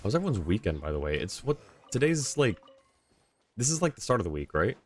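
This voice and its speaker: A man's voice: fairly low pitch, big pitch swings, fairly quick, slightly rough. A man streaming a game live, chatting casually and rambling as he thinks aloud. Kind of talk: casual livestream chat.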